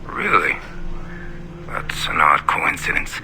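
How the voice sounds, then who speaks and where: A man's voice: extremely low pitch, wildly swooping pitch, takes it slowly, noisy background, full character voice. A man playing a resigned, reserved father in naturalistic audio drama, laconic and dry. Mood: puzzled.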